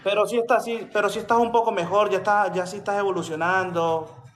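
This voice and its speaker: High-voiced Venezuelan man in an echoey room. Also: steady tone, brisk, fairly bright tone, soft background music. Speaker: host, creative dog groomer. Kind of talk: casual chat. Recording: echoey room with people heard around.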